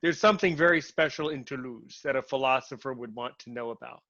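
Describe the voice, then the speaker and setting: A man's voice: mid-range voice, a little fast, a bit bright, no echo. A man hosting a casual conversation, who rambles and restarts his sentences a lot. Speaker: host. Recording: muffled, lo-fi, dry sound.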